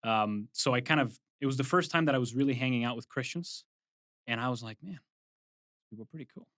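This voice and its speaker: Young adult male guest, from Brazil, mid-pitched, casual storyteller, dry studio sound. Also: fast, no echo. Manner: relaxed, rambling with restarts and asides. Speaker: guest, film composer. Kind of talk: casual interview chat.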